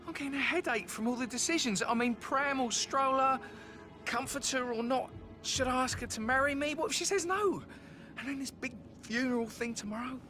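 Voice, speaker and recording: Worried young guy, soon a dad, rambles, music loud under. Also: very high-pitched, brisk. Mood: fretful. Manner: fretful, rambling. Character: anxious expectant young father, fretful.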